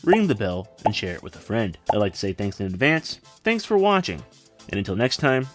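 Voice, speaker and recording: A man's voice: fairly low pitch, big pitch swings, a little fast. A man narrating a scripted film video essay as its host, with wry, sardonic asides. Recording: music behind. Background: background music.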